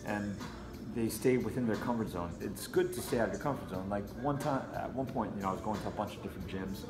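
Low-voiced man explaining in an echoey gym. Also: quick pace. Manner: conversational, explanatory, frequent "you know". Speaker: martial arts fighter and trainer being interviewed. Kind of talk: casual interview talk. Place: martial arts gym with mats, indoors. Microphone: camera a few feet away. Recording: echoey room, faint music behind.

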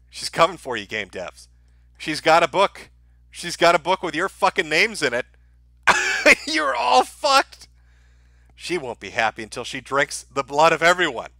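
Man, livestream host, high-pitched, bright forceful voice, sardonic, mocking, casual commentary, swears freely. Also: gravelly, no room sound, studio quality.

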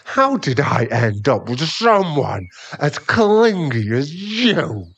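A man plays an irritable sugar daddy boyfriend in an ASMR roleplay. He talks slowly and haltingly, sighing in exasperation, and his pitch swings widely as he pleads for space. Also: big pitch swings, fairly bright tone, husky, full character voice. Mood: angry, exasperated.

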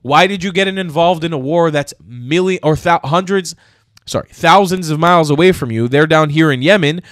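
A man's voice: on the high side, dry recording. Heated sarcastic young man, dry room. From United States. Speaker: commentary youtuber. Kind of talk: angry commentary rant. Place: small room indoors. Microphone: studio mic on stand, headphones.

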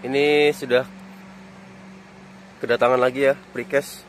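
A man's voice: medium pitch, measured pace, slight background noise. A man giving a casual walking tour of a stadium construction site, pointing things out as he goes, filmed outdoors on a phone. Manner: relaxed, pointing things out as he walks. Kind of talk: casual walk-and-talk commentary. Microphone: phone camera held low while walking.

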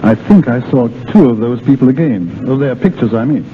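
An actor playing a genial, tactful gentleman doctor in a 1930s radio drama. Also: medium pitch, expressive, a little fast, natural voice. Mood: tactful, careful. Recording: tinny, noisy 1930s radio recording.

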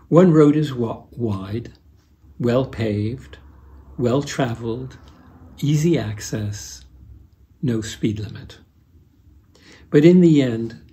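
Old american guy preaching, 70s, really unhurried, mellow gravelly voice, careful pauses. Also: mid-range voice. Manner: measured, deliberate, with pauses. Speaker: pastor. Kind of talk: scripted sermon. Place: furnished room with fireplace, indoors.

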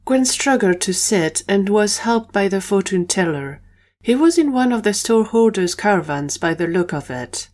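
A woman's voice: mid-range voice, animated, moderate pace, darkish tone. A woman narrator reading scripted storytelling for an audio drama.

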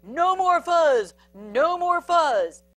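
A woman voicing a crowd of excitable, squabbling storybook prairie dogs, exclaiming and chanting. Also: fairly low pitch, unhurried, slightly rough, dry recording, voice pushed down, exaggerated voice. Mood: cheering.

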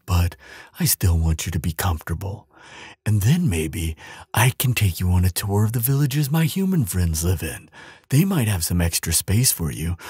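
A huge, tender giant acted out by a man with a very deep, heavily husky voice. He speaks slowly and soothingly, doting on the listener as in an intimate audio roleplay. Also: dark tone, no echo, clean studio sound, heavily acted. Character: huge gentle male giant, tender and caring. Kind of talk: audio roleplay acting, softly spoken to the listener.

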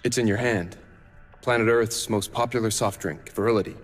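A man playing a solemn young half-demon mastermind in a video game, speaking in a measured, articulate, grave way. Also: lowish voice, fairly even intonation, natural voice. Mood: measured explaining. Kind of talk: cinematic video game dialogue and exposition. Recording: long reverb, music underneath.

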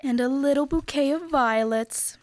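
A woman's voice: sits high, normal speed, natural voice. A young woman playing an affectionate, eager housewife in old-time radio comedy. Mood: affectionate, excited. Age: teens or young adult. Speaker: high school student actor. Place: school library room. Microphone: stand microphone.

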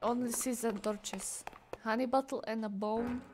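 A woman's voice: fairly high pitch, fairly even intonation, a little slow, very noisy. A young woman with a Slovak accent, chatting casually and giggling while playing a game with her partner. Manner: giggly, chatty, easily amused. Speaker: co-host gamer. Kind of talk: casual gameplay chat.

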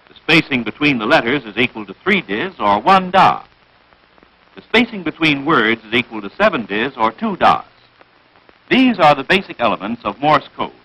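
Lively, bright-voiced American male film narrator. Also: medium pitch, moderate pace, breathy edge, low-quality recording. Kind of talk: 1940s military instructional film narration, scripted. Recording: vintage 1940s film soundtrack.

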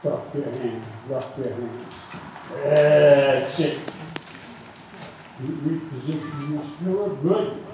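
A man's voice: medium pitch, slow, pauses often, mellow. A man coaching riders at a show jumping clinic, calling out terse, emphatic instructions and repeating short commands. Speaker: horse riding coach. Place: large covered indoor riding arena. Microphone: distant camera at the side of the arena. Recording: echoey riding arena, distant mic, some noise.

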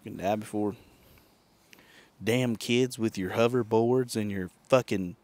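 A young man in his thirties hosting a casual comedic podcast on a backyard patio, holding a handheld mic, animated and profane, bursting into silly mock singing. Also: on the low side, measured pace. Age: young adult. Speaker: podcast host.

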